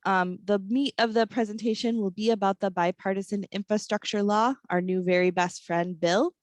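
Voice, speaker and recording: A woman's voice: mid-range voice, fairly even intonation, moderate pace, dry recording. A woman in her thirties with a froggy, scratchy voice from a cold, presenting a webinar over a video call with plenty of ums. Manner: friendly, many ums. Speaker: airport district office manager presenting a webinar. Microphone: computer mic on a video call.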